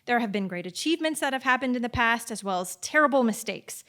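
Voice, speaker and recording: Earnest high-voiced teaching mother. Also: lively intonation, fast talker, a bit bright. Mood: coaxing, instructive. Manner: coaxing, instructive. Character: earnest, persistent medieval mother homeschooling her son. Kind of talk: live stage audio play acting.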